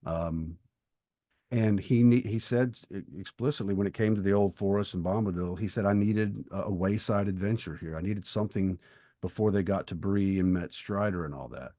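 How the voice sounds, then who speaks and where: A man co-hosting a podcast, talking through literature in a conversational, measured and thoughtful way. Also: low voice, mellow, no echo, studio quality. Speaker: podcast co-host.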